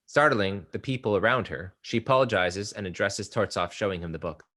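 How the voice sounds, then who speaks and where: A man with a fairly low voice narrates stage directions at a table read. He delivers scripted description of the action between the actors' lines. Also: brisk, a bit bright.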